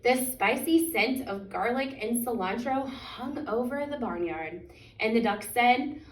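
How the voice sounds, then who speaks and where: A woman reads a picture book aloud for children in an expressive, deliberate way, stretching out key words. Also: on the high side. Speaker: children's story reader. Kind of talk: animated picture-book storytime reading. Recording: echoey room, distant microphone.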